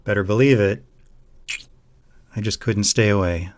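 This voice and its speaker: Low-voiced gentle boyfriend played by a man, noisy recording. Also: a little slow, close to an everyday voice. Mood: affectionate. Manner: soothing, affectionate. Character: gentle, reassuring young boyfriend in a poly relationship. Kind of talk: intimate roleplay audio drama.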